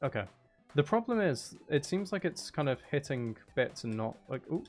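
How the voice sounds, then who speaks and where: A man's voice: fairly high pitch, very animated, moderate pace, faint music behind. A man giving casual livestream commentary on a video game, talking to himself with mutters and exclamations. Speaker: game streamer.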